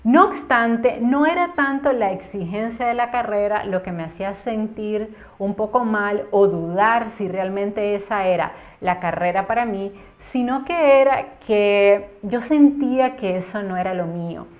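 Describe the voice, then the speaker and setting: A woman's voice: medium pitch, unhurried, few pauses. A young woman from Cuba telling her own story in a casual, earnest storytime vlog, stretching words for emphasis. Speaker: YouTuber. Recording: tinny phone-like sound with faint music.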